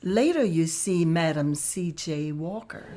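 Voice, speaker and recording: A woman reading stage directions aloud at a table read in a slow, measured way. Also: low voice, expressive, warm tone, slight background noise.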